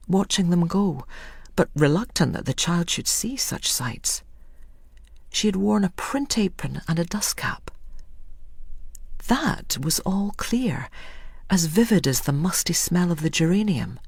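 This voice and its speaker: A woman narrates a story in a husky, breathy voice, often dropping to a near whisper. Her pitch is fairly low yet moves expressively, and the recording is close and dry. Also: expressive, measured pace, a little mellow, no room sound. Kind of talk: scripted audiobook narration.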